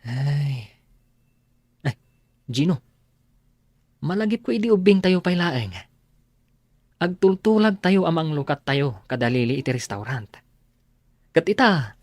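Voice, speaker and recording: An actor playing an excitable, loyal young friend in an Ilocano radio drama, expressive and emphatic, with an Ilocano accent. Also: male voice, fairly high pitch, unhurried, pauses often, no echo. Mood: reflective.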